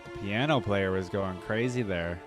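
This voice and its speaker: Low-voiced man reacting over music. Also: normal speed. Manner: informal, thinking aloud in questions and exclamations. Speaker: reaction video host. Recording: music playing behind the voice. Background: instrumental theme music with piano and choir.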